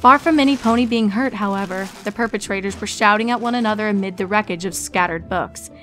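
A woman's voice: mid-range voice, fast talker, sharp tone, soft background music. A woman narrator reading a scripted story expressively.

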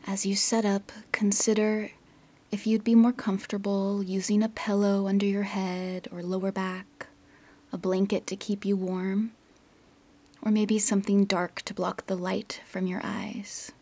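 A woman guides a meditation in a soft, husky near-whisper with a creaky edge. Her delivery is gentle and very even in pitch, with long pauses between instructions. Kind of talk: guided yoga nidra meditation.

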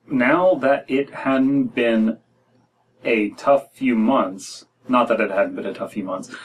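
An American man with a mid-pitched voice reads stories aloud in a loose, casual way. He often stumbles and wanders into asides. He sounds a little way back from the microphone in a room. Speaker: podcast host reading stories aloud. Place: small room at home, indoors. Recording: distant mic in a room.

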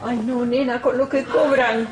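An actress plays a blunt older Argentine woman in a TV melodrama. Her voice sits fairly high as she scolds and bosses protectively. Loud music plays underneath. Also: steady tone, a little slow, natural voice. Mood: indignant complaining. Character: brusque, indignant older working woman, bossy and protective. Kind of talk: telenovela acting. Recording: music playing under the dialogue.

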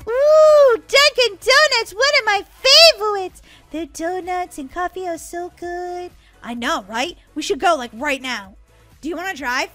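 Woman as an excitable, very high-pitched girl gamer. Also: moderate pace, soft background music. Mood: excited, bickering. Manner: dramatic, whiny, exclaiming. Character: excitable, dramatic girl gamer and her bickering sister. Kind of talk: scripted gaming roleplay skit.

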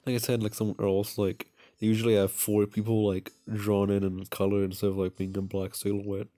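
A man with a fairly low voice narrates a visual novel. He reads casually and drops in his own comments, stumbling and restarting as he goes. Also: completely flat delivery, warm tone. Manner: halting, stumbles and restarts while reading. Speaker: video game player and narrator.

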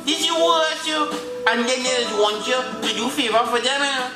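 Man doing a grumpy Vincentian taxi driver, very high. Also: fairly quick, reverberant, over loud music. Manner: loud, fussy, scolding, heavy island slang. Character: grumpy Caribbean male taxi driver answering fan questions.

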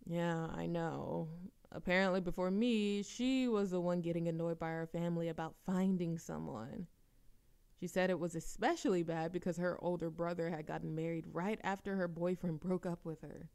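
A woman's voice: on the low side, moderate pace, creaky, clean tone. A woman playing a playful, dramatic young woman chatting teasingly with a best friend in an audio roleplay. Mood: chatty, wry. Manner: animated complaining, teasing, theatrical exasperation. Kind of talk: intimate friend roleplay audio acting, conversational.

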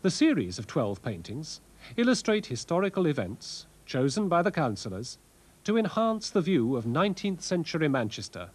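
A man giving scripted, scholarly documentary narration in a measured way, with wry asides. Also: mid-range voice, husky. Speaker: presenter, art and architecture lecturer. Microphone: voice-over narration.

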